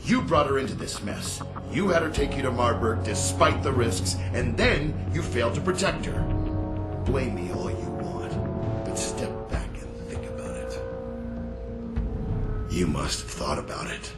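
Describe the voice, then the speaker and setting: An actor playing a cold, manipulative middle-aged corporate villain in a video game, speaking in a measured, condescending way. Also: male voice, medium pitch, big pitch swings. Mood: accusing. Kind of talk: dramatic video game dialogue. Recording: roomy echo, music behind.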